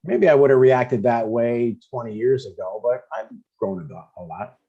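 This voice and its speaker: A man's voice: mid-range voice, normal speed, slightly rough. An older man playing a blunt, stubborn but affectionate father in a comedy table read, over dull stream audio. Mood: mild, curious. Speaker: amateur actor.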